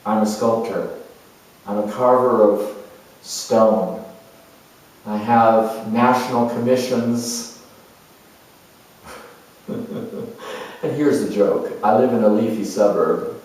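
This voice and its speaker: Very echoey far-off recording, man performing as reflective artist, slow pace, halting musing monologue, expressive pauses. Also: on the low side. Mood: proud, amused. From New Zealand. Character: creative, sensual, reflective middle-aged male sculptor, spiritual seeker. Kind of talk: dramatized first-person monologue before an audience or room. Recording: very echoey room, distant microphone.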